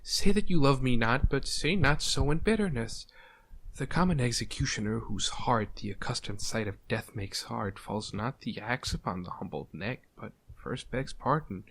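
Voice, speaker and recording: A man plays a lovesick young shepherd in a Shakespearean radio play. He pleads with melodrama at a middling pitch, over faint background noise. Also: animated, normal speed, fairly bright tone. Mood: pleading.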